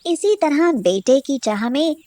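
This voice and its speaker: A woman's voice: sits high, lively intonation, slow, dark tone, no room sound. A woman reading a scripted story as a storyteller. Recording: music behind the voice.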